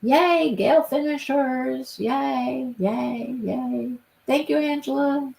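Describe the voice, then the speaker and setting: A woman's voice: sits high, slow pace, vocal fry, a little mellow, a little hiss or hum. A woman hosting a live stream, chatting casually with viewers by name and repeating her phrases, her voice stuffy and congested. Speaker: livestream host.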